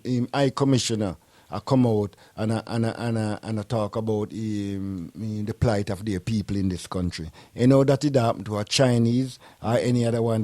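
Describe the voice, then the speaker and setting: A man's voice: mid-range voice, dark tone, dry recording. A man from Jamaica with a Jamaican accent, hosting a casual radio talk show in a loose, rambling way. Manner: laid-back, rambling, peppers speech with "you know". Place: radio studio.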